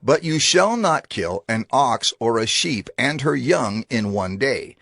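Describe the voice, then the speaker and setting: An actor playing a solemn, instructive reciter of divine law in a formal, measured dramatized scripture reading. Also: male voice, medium pitch, sing-song, vocal fry, fairly bright tone, dry recording, clean studio sound, exaggerated voice. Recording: music under the voice. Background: music.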